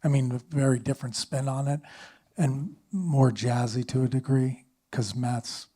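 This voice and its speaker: Husky-voiced man chatting on a panel. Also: medium pitch, fairly even intonation, normal speed, warm tone. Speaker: musician on a panel. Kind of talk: casual panel discussion.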